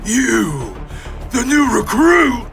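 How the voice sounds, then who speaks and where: A man playing a frightened henchman guard in an audio drama, frantic, stammering and shouting in panic. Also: extremely high pitch, wildly swooping pitch, extremely slow, vocal fry, over music, heavily acted, rough recording. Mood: shocked.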